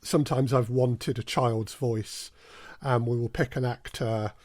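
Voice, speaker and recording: A man's voice: medium pitch, steady tone, unhurried, darkish tone, dry recording. A man speaking as an audio drama producer in an interview, with hesitant, stop-start phrasing. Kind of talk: interview conversation.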